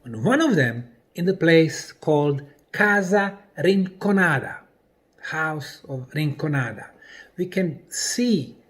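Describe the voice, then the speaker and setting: A man presenting in a lecture style, deliberately, in short separated phrases with emphasis on key words. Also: fairly high pitch. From Bosnia. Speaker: presenter and researcher.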